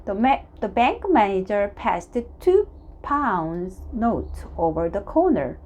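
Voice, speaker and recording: A woman reading a children's story aloud as narrator, in a halting, deliberate way. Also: mid-range voice, very animated, a little mellow. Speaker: storybook reader.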